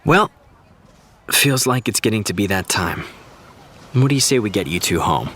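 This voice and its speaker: An actor playing an easygoing, diplomatic TV writer who explains things in a reassuring, conversational way, in an audio drama. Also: male voice, fairly low pitch, sing-song, normal speed, a bit bright, slight background noise. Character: easygoing, diplomatic TV writer consoling a young fan. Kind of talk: naturalistic audio drama dialogue.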